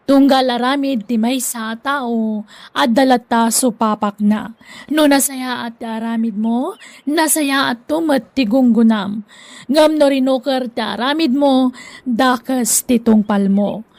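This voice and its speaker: A woman's voice: fairly high pitch, unhurried, a little mellow, dry recording. A woman with an Ilocano accent reading scripted narration for a radio drama.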